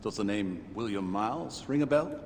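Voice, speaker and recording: An actor playing a calm, persuasive modern assassin leader in a game cutscene, measured and assured. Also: male voice, fairly low pitch. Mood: calm persuasion. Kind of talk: naturalistic video game cutscene acting. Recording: long echo, clear background noise.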